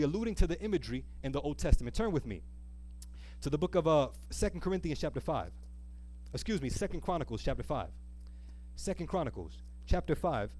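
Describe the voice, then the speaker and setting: Guy preacher, kinda high voice, earnest sermon, leans on key words and asks lots of rhetorical questions. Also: darkish tone. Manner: earnest, rhetorical, stresses and stretches key phrases. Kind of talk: sermon with scripture reading and call-and-response questions. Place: live church service.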